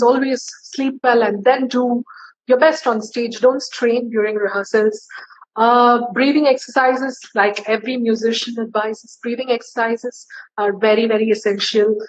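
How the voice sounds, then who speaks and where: A woman singer giving casual tips in an interview, chatty and friendly, with many fillers. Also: highs cut off. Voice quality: low, bass-leaning voice for a woman.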